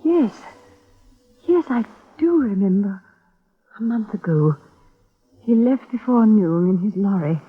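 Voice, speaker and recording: A fever-ridden wife who talks slowly and coldly, her words clipped and bitter. The voice is breathy, and it comes through a thin, phone-like old radio broadcast. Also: medium pitch, lively intonation. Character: bitter, ill wife of a planter. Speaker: planter's sick wife. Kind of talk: 1950s radio drama acting.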